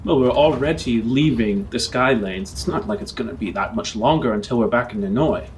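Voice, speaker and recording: A man narrating an improvised tabletop roleplay as game master, with measured, formal, descriptive phrasing. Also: mid-range voice, a little hiss or hum.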